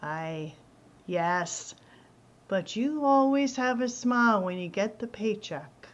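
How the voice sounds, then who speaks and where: A woman's voice: mid-range voice, lively intonation, takes it slowly, highs cut off. A woman playing an affectionate, wry wife in a radio play. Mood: fond teasing. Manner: wry, teasing. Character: teasing, affectionate working-class Irish-American wife.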